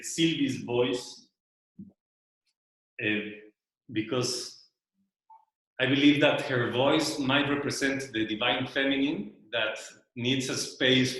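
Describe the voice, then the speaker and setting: Man, Spanish first language, slow and searching casual presenter, recorded in a very echoey room. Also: mid-range voice, fairly bright tone. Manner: slow, searching, repeats words while thinking. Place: loft room with a small audience.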